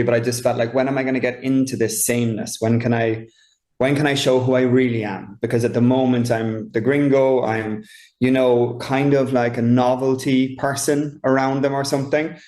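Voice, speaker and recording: A man's voice: medium pitch, darkish tone, reverberant. A man with an Irish accent, a novelist speaking reflectively in an interview, often searching for his words. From Ireland. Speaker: novelist guest. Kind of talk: conversational author interview.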